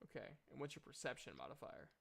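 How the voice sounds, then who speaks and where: A man running a tabletop game as game master, narrating deliberately and haltingly and chatting with players. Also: mid-range voice, sing-song, vocal fry, fairly bright tone.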